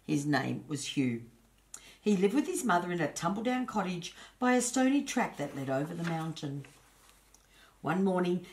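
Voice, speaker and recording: A woman with a low-pitched voice reads a children's bedtime tale. She is the host and storyteller, expressive in her telling and chatty toward little listeners.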